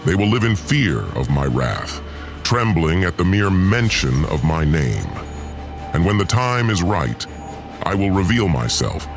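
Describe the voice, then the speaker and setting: A wrathful, self-worshipping alien overlord in an audiodrama. The voice is deep and pompous, swooping from gloating menace to fury, with music underneath. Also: male voice. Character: arrogant, wrathful male alien tyrant who plays god. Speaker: narrator and lead voice actor. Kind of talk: dramatic first-person audiodrama narration.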